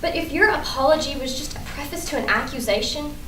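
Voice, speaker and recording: A woman acting a proud, blunt, guarded high school girl with an emphatic, dry manner in a staged play reading. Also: fast, close to an everyday voice. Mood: hurt, firm. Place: indoor room at a staged reading. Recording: roomy, distant mic, faint noise.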